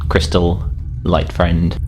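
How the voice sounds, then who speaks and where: A man's voice: low-pitched, completely flat delivery, takes it slowly, darkish tone, faint music behind, rough recording. A man playing a tabletop game, chatting casually and jokingly as he describes his character's actions.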